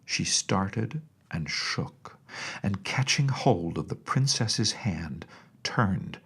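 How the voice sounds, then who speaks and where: A male narrator reads a storybook in a measured, expressive manner. His voice is low and husky, with plenty of creak in it. He is telling a scripted tale as an audiobook.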